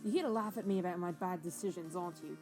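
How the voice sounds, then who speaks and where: A woman's voice: on the low side, fast, darkish tone, soft background music, close to an everyday voice. Woman acting a drunk, old-fashioned rambling gent. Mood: defensive, regretful. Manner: folksy, old-fashioned exclamations. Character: drunk, rambling male celebrity full of old-timey slang. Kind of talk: voiced web-fiction audio drama.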